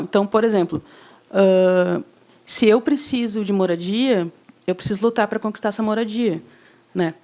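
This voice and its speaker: A woman speaks as a podcast guest, explaining her movement in a casual, unhurried way. She hesitates often and fills her pauses with small sounds. Her voice sits at an ordinary pitch for a woman. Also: darkish tone. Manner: hesitant, frequent "é" fillers. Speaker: guest, housing movement coordinator. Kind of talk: casual explanatory interview talk.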